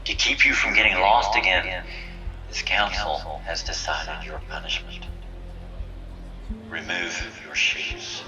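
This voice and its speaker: A man playing a stern, sanctimonious council leader in an audio drama, solemn, measured and commanding. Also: low-pitched, fairly even intonation. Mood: cold, stern. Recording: roomy, distant voice under music.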